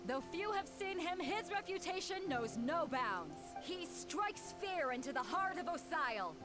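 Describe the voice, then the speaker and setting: A woman voicing a brisk young tournament announcer in a video game, with a theatrical delivery. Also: extremely high pitch, very animated, music in the background, heavily acted. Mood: rousing, theatrical. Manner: theatrical, proclamatory.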